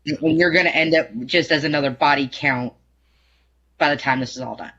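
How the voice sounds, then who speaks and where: Bright male panelist on dull lo-fi audio. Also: fairly high pitch, fairly even intonation, a little fast. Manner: chatty, builds on ideas with restarts. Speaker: podcast panelist. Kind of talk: casual panel discussion.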